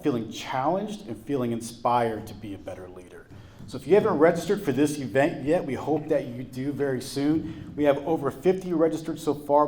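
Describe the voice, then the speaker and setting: A man's voice: medium pitch, darkish tone. A man giving upbeat prepared remarks at a chamber of commerce gathering, promoting upcoming events. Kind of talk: prepared remarks at a business breakfast. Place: event room at a college.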